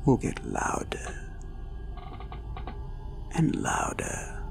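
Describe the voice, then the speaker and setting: A man playing an ancient, cruel incubus demon in a dark roleplay audio, mocking and gloating, with slow menace and breathy whispers. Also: medium pitch, full character voice. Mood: sinister taunting. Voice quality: creaky, breaking into breathy whispers. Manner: slow, menacing, taunting, drawn-out. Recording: ominous music behind the voice.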